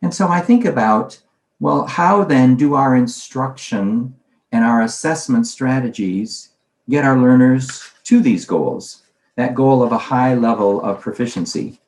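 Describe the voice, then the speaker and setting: A man presenting a conference talk in a conversational way, posing questions and then answering them himself. His voice sits at a medium pitch. The recording carries a roomy echo. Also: unhurried, a little mellow. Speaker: conference speaker on language teaching.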